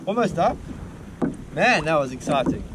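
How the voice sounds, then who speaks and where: A man's voice: fairly high pitch, sing-song, normal speed, very noisy. A young man with a South African accent casually commentating on his fishing in an informal, slangy way, outdoors on a kayak at sea. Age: young adult. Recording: loud music over the voice. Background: loud music.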